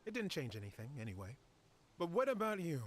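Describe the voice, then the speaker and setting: A man playing a measured, shrewd and courteous official in a fantasy video game. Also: on the high side, huge pitch swings, a little hiss or hum. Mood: musing. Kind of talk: dramatic video game dialogue.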